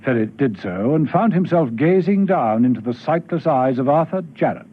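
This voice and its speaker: A man's voice: medium pitch, animated, moderate pace, a little hiss or hum. A man narrating a radio spy drama in scripted storytelling style. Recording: thin phone-like old radio recording.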